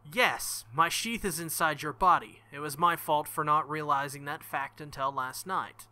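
A man voicing an earnest, protective young female knight with formal, measured speech in a visual novel. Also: on the high side, sharp tone, clear, resonant tone. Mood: solemn, explaining.

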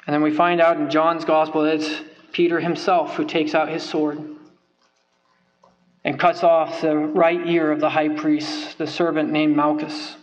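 A young man with a fairly high voice delivers a sermon from a wooden pulpit, earnest and emphatic, hammering points home through repetition. The pulpit mic recording sounds a little dull and lo-fi. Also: little pitch movement, unhurried. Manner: earnest, emphatic, repeats phrases for stress. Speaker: preacher. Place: church sanctuary, at a wooden pulpit. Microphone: pulpit microphone.

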